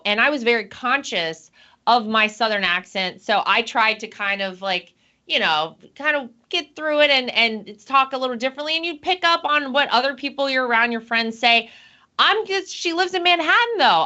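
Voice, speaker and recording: Bright-voiced woman hosting a talk show. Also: on the high side, highs cut off. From North Carolina, USA. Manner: conversational, animated reactions. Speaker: host. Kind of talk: casual talk show chat.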